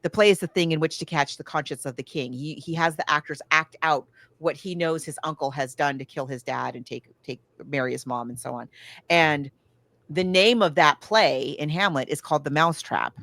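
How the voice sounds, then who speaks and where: A woman with a slightly low voice talks in an effusive, casual way, like a podcast host sharing a review. The recording is close and dry, with no room sound. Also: moderate pace, a bit bright, dry recording. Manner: enthusiastic, effusive.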